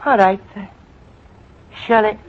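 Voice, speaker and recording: A very high-voiced, flustered Italian immigrant man who stammers through broken English. The old broadcast sounds dull and carries a faint hiss. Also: fairly even intonation, slow pace. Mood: earnest, flustered. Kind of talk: 1940s radio comedy acting. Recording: dull old radio recording with faint noise.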